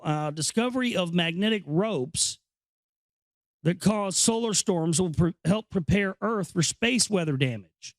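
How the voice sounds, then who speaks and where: A man hosting an informal read-aloud, explaining and commenting in a halting, conversational way. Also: on the high side, dry recording. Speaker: host reading a book aloud with commentary. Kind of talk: informal reading with off-the-cuff commentary.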